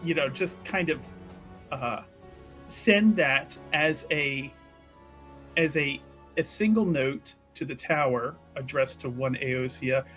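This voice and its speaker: A man narrating his character's actions at a tabletop game in a casual, hesitant, halting way. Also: medium pitch, a bit bright. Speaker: player in a tabletop roleplaying game. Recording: faint music behind.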